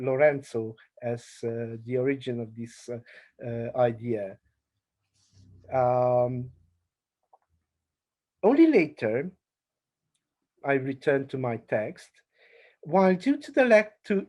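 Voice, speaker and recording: Male academic speaker, Hungarian accent, deliberate slow pace with frequent pauses and fillers. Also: mid-range voice, darkish tone. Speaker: conference speaker. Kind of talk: academic paper presentation.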